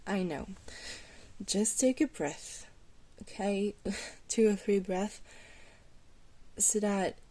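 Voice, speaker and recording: An ancient female vampire, acted by a woman, speaks slowly and softly in an intimate ASMR roleplay. She is gentle and alluring, guiding with a reassuring, faintly hesitant manner. Her pitch sits in an ordinary middle range for a woman. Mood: calm, reassuring. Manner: slow, hesitant, reassuring, with breathy pauses and small chuckles. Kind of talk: soft-spoken ASMR roleplay.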